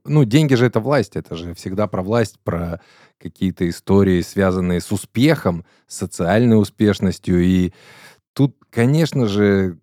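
A man's voice: deep voice, expressive, normal speed, mellow, no room sound, studio quality. A man in his late thirties hosting a podcast, chatting casually in a blunt, slangy way and telling stories from his own life. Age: late 30s. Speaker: podcast host.

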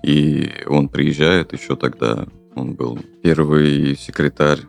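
A man's voice: a bass voice, steady tone, slow, gravelly, faint music behind. A young man from Kazakhstan, a podcast guest talking casually and hesitantly, with many fillers and restarts. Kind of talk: casual interview talk.

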